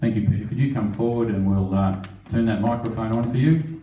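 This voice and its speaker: A low-voiced man opens a council meeting, reading the formal notices steadily with the odd uh. His mellow voice rings in a reverberant chamber. He is picked up from some distance. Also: fairly quick, highs cut off, a little hiss or hum. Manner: formal, reads procedure with some fillers. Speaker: meeting chair. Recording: echoey chamber, mic far off.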